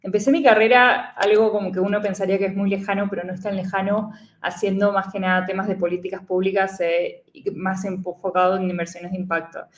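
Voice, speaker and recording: A woman from Argentina, speaking with an Argentine accent as a guest investor, relaxed and full of fillers. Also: mid-range voice, a little fast, hardly any pauses, reverberant. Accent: Argentine Spanish. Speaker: guest, venture capital investor. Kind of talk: casual interview talk.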